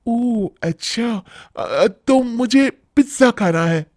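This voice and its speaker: Man putting on a high, whiny young wife. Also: animated, takes it slowly, a little mellow, no room sound, clean studio sound, full character voice. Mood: shy, curious. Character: young pizza-obsessed wife, sweet, whiny, naively greedy. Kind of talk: animated Hindi moral-story cartoon acting.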